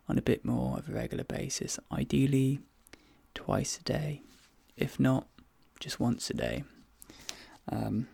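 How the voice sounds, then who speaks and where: Soft, crackly-voiced man doing slow ASMR. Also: mid-range voice, no room sound. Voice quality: breathy, soft. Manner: hesitant, halting, drifting into whispers. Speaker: ASMR creator role-playing a dentist. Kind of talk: soft-spoken ASMR role play.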